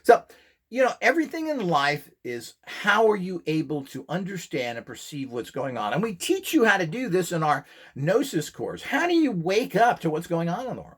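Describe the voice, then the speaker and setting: A man with a fairly high voice speaks in a very animated way, swinging widely in pitch. He lectures informally and with strong opinions, blunt and scornful, leaning hard on his points. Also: moderate pace, husky. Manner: rhetorical questions, mimicked quotes. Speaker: presenter and seller of radionics devices.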